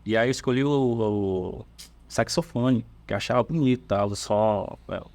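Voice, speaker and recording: A man from Salvador with a Bahian accent, a church band's bassist, chatting casually on a podcast in an easygoing, halting storytelling way with fillers and restarts. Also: a little mellow. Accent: Bahian Portuguese. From Salvador, Brazil. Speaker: bassist of a church worship band, podcast guest.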